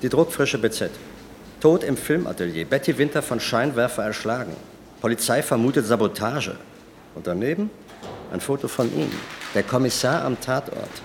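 A stern senior police official, played by a man in a radio drama, with a mid-pitched voice. He scolds in cold, sarcastic reproof. A faint hiss sits under his voice. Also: animated, normal speed, close to an everyday voice. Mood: reproachful.

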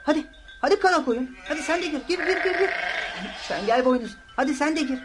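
A woman playing an eager, dreamy young shepherd boy in Turkish radio theatre, excitable and pleading. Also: sits high, brisk. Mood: hurried. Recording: noisy radio drama recording.

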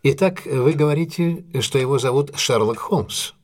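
An actor in an audio drama playing a shrewd, commanding gentleman detective, husky, courteous and intense, with theatrical deliberate delivery. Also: mid-range voice, close to an everyday voice. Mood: probing, stern. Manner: theatrical, authoritative, deliberate questioning.

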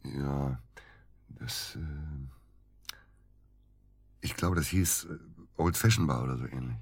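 A man acting in a German radio drama as an evasive, dejected doctor under suspicion, husky and halting. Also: rumbling low, dry recording, full character voice. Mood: sheepish, confessional. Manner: halting, hesitant, defensive.

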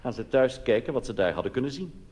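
A man's voice: medium pitch, lively intonation, quick pace. A man with a Netherlands Dutch accent, a cabaret comedian telling a comic monologue live on stage in a conversational way, full of colloquial asides. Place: on stage in a theatre. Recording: noisy live recording in reverberant hall.

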